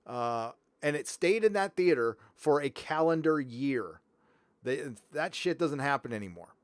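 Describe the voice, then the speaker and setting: Animated middle-aged male livestream host. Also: medium pitch, unhurried, no room sound. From Southern California. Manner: loud and animated, swings from mumbles to shouts. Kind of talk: casual livestream chat reading donations.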